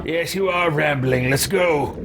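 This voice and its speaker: A man's voice: a little high, unhurried. A man doing casual, sardonic let's play commentary on a livestream, with some theatrical roleplay. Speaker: game livestream host. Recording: clear background game noise. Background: video game ambience.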